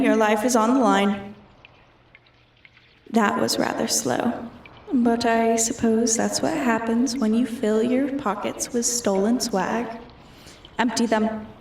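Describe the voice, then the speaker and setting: A woman voicing a bored, menacing female dragon who taunts a human thief in a slow, imperious, deliberate manner for a roleplay ASMR. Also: on the high side, vocal fry, a little mellow, echoey room, recorded from a distance. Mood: commanding. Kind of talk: roleplay ASMR, scripted character acting.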